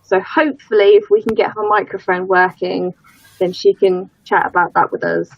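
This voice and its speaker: A woman's voice: mid-range voice, fairly even intonation, normal speed, husky, no echo. Woman, panel host, chatty, self-deprecating, dry dull lo-fi video call sound. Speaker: livestream host. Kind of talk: casual panel hosting. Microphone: computer mic on a video call.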